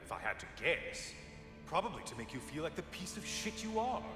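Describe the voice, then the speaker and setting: Taunting severed-head god for a game: bitter, theatrical, wildly animated pitch, echoing over music. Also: male voice, a little fast. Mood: gleeful mocking. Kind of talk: cinematic video game acting. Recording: echoing long reverb, music underneath.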